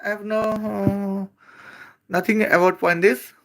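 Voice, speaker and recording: A speaker from Bangladesh who speaks English as an after Bengali, giving a rambling, halting comedic roast full of hesitations. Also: medium pitch, steady tone. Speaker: video creator.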